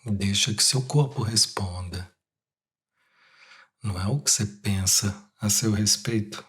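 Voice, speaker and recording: A Brazilian man leading a guided meditation, speaking in short, unhurried phrases with long pauses between them. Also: fairly low pitch, a bit bright, slightly rough. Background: music.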